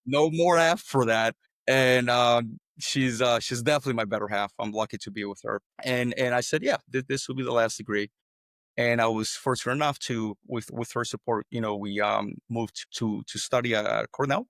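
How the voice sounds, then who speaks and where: A man's voice: medium pitch, no room sound, clean studio sound. A man from El Salvador, a podcast guest, telling his story in an earnest, conversational way, often restarting words and tagging points with "right?".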